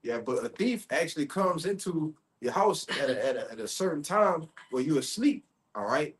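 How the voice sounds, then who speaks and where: A man's voice: on the high side, very animated, moderate pace. A man preaching informally on the street, expounding scripture and often checking in with tags like "all right?" and "you see?".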